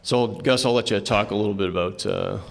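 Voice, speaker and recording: A man's voice: on the low side, fairly quick, gravelly. A man moderating a convention panel presentation, talking conversationally and handing off to co-presenters. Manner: conversational, lots of restarts, hands off to co-presenters. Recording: reverberant hall.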